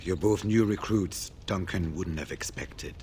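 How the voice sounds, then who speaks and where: An actor playing a grave veteran warden scout in a fantasy video game, speaking in a formal, measured way. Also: male voice, sits low, a little mellow, a little hiss or hum.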